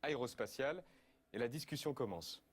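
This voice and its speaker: A man's voice: mid-range voice, animated, normal speed, very noisy. A man narrating a video clip, with short dry ironic asides. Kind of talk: wry narrated commentary.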